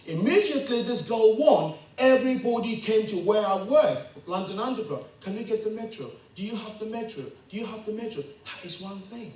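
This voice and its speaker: A middle-aged man addresses a meeting with passion, his high voice swinging widely through rhetorical questions. He is heard from a distance in a very echoey room. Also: expressive, fairly quick, few pauses, a little mellow. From Ghana. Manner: rhetorical questions, rising to emphatic peaks. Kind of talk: impassioned public address.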